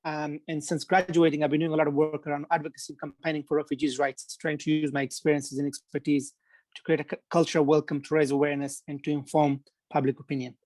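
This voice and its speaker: A man's voice: fairly high pitch, flat delivery, fast, a little mellow, dry recording. A man from Afghanistan, an author and refugee advocate, introducing himself earnestly with frequent fillers. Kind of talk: brief self-introduction.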